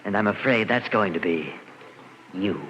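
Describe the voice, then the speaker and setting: A man's voice: lowish voice, moderate pace, lightly acted. An actor playing a deranged, grandiose sculptor who believes he is Death, in an old-time radio drama. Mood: menacing glee. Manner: theatrical, grandiose monologuing. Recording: dull, noisy vintage radio recording.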